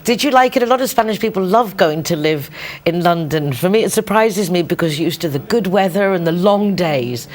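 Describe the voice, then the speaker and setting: A woman's voice: on the low side, lively intonation, a little fast, a little mellow. Enthusiastic woman TV interviewer.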